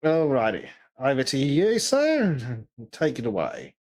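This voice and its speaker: A man hosting a casual podcast call, easygoing and quick to laugh. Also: medium pitch, wildly swooping pitch, a little mellow. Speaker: podcast host.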